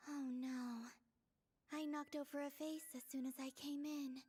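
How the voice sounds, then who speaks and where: A woman voicing a gentle, anxious young woman in a video game, earnest and careful, in hushed breathy tones as if talking to herself. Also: high voice. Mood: flustered. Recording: music behind the voice.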